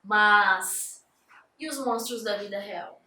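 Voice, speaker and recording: Bright-voiced woman host in an echoey room. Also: mid-range voice, slow pace, recorded from a distance, a little hiss or hum. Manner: chatty, filler-heavy, jokey. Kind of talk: casual interview hosting.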